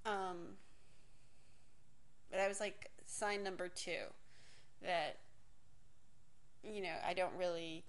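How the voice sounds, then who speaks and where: A woman's voice: fairly low pitch, fairly even intonation, slow, long pauses. Woman in her 40s from miami, rambling personal story, cuts herself off a lot, cusses and laughs. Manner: rambling, self-interrupting, swears casually, laughs often. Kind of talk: candid personal monologue.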